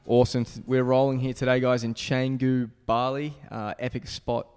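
A man's voice: medium pitch, flat delivery, normal speed, darkish tone, smooth, in a large hall, soft background music. A man hosting a podcast in a casual, laid-back and enthusiastic way, with slangy wording. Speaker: podcast host.

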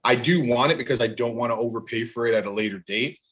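A man talks in a loose, chatty way. His voice sits at a middling pitch, and he rambles through anecdotes with a sentimental streak, as a podcast co-host among friends. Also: fast talker, a bit bright, breathy edge. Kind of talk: casual chat.

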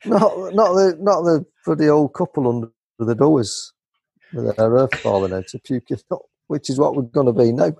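A man's voice: mid-range voice, lively intonation, normal speed, vocal fry, dark tone, a little hiss or hum. A middle-aged man joking in dry casual banter. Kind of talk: casual chat.

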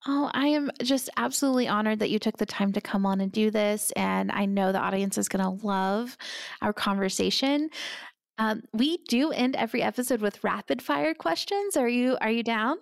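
A woman's voice: a little high, moderate pace, gravelly, no room sound, studio quality. A woman hosting a podcast, chatty and enthusiastic as she interviews a guest. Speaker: podcast host.

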